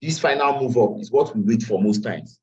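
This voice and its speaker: A man's voice: medium pitch, moderate pace, a little mellow. Tired man teaching on a call. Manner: repeats phrases, drops into Nigerian Pidgin asides. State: tired after a long day. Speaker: forex trading teacher. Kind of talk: live online class walking through charts. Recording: distant, dull lo-fi call audio.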